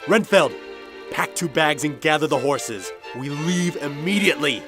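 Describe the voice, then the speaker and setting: An obsessive monster hunter barking orders in a radio drama. His voice is fairly high and swings widely in pitch, with prominent music behind it. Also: animated, unhurried. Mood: stern, driven. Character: Doctor Seward, obsessive, commanding male monster hunter.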